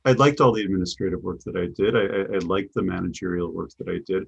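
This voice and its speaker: Gravelly husky-voiced middle-aged man. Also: sits low, quick pace, dull audio. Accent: Chicago. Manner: thoughtful, many restarts and repeated words. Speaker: guest, business coach. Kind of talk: conversational interview answers.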